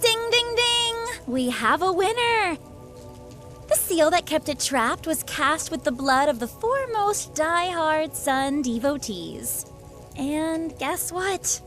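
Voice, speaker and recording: A woman's voice: extremely high pitch, lively intonation, slow pace, music in the background, full character voice. A woman playing a sardonic, playful rebel leader in a video game, with a breezy, teasing, theatrical manner. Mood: sarcastic, theatrical.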